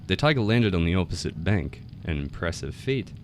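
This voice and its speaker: A man narrating scripted folktales as a storyteller, with a measured, theatrical bardic delivery. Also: low voice, sing-song. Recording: noticeable background noise.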